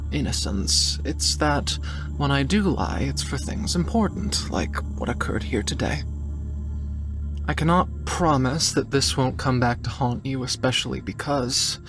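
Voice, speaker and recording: Man voicing a slow, deliberate researcher woman over music. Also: medium pitch. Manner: formal, deliberate, wordy phrasing. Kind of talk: in-character tabletop roleplay. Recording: music playing behind the voice.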